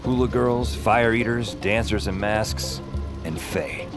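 A man's voice: on the low side, slow pace. An actor playing a wry, boastful male protagonist who narrates his wild dream conversationally in an audio drama. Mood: amused, dreamy. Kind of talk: first-person noir-comic audio drama narration. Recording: loud music under the voice.

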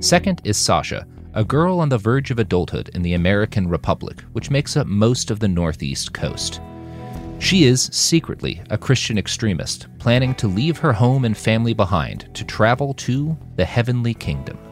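Deep-voiced man narrating with lively pitch swings. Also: moderate pace, faint music behind. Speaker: narrator of a fiction podcast. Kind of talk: scripted audiobook-style narration of a dystopian war novel.

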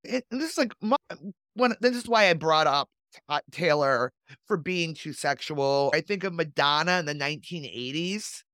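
The animated woman one would hear hosting a loose history podcast from a close, dry little studio, her pitch rising and dipping. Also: normal speed, dry recording. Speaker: podcast host. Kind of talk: casual, digressive history chat.

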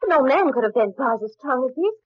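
A woman's voice: high-pitched, lively intonation, quick pace, no room sound. A woman acting a suspicious, insistent young woman in a 1940s radio melodrama. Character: determined, suspicious young woman confronting a scientist. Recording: dull old radio broadcast.

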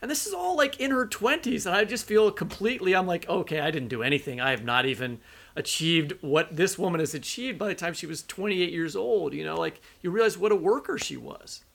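A man co-hosting a literary podcast, talking through his thoughts in a halting, conversational way. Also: sits high, expressive, gravelly, a bit bright. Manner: halting, conversational, thinking aloud. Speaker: podcast co-host. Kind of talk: literary interview discussion.

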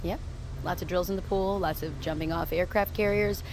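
An actress playing a capable ex-Navy diver piloting a submersible in an audio drama, with clipped procedural callouts. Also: female voice, lowish voice, steady tone, normal speed, darkish tone, a little hiss or hum, lightly acted. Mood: calm, dry. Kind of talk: audio fiction drama acting.

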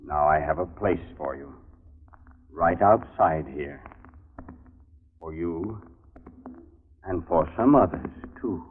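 Casting: deep-voiced, menacing ex-con avenger for vintage radio drama, thin narrow-band sound with crackle. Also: male voice, slow, long pauses. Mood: cold menace. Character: vengeful wronged ex-convict posing as cemetery watchman. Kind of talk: 1940s radio drama acting. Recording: scratchy, band-limited 1940s radio recording.

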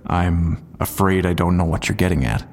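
In a reverberant space, a low male voice plays a surly homicide detective for an audio drama. He is guarded and evasive, giving terse, deadpan answers. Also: moderate pace. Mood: guarded, irritated. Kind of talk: full-cast audio drama interrogation.

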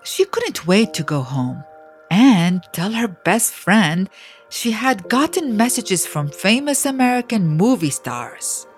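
Slow-paced woman narrating a story. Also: mid-range voice, soft background music. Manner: deliberate, expressive storytelling delivery. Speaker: audiobook narrator. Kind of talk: scripted children's biography narration.

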